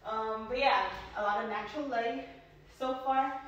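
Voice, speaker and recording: House-tour vlogger, woman from New York, higher voice, rambling and chatty, mic a bit distant in very echoey bare rooms. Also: fairly even intonation, moderate pace, dull audio. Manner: chatty, rambling with many ums and likes. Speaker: vlogger giving a home tour. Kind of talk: casual walking house tour. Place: indoors, sparsely furnished new house.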